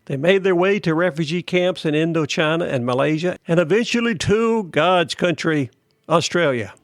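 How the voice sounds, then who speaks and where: Man reading news, fairly high voice. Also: normal speed, no room sound, clean studio sound. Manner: steady, plain read-aloud delivery. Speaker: podcast host. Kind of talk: reading a news article aloud.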